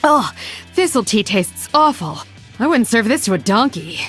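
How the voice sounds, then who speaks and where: Sardonic female elf assassin, game character, teasing and theatrical, very expressive pitch, music underneath. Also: normal speed. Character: sly, sardonic young-looking female elf rogue assassin. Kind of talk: video game character voice lines.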